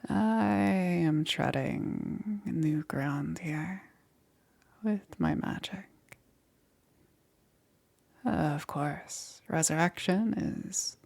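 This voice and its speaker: Casting: a woman plays soft-spoken witch necromancer for ASMR roleplay; gravelly fry, husky half-whisper, calm and eerily gentle. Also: sits low, full character voice. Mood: calm explaining. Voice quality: breathy, often dropping into whisper. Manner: unhurried, halting with pauses, soothing.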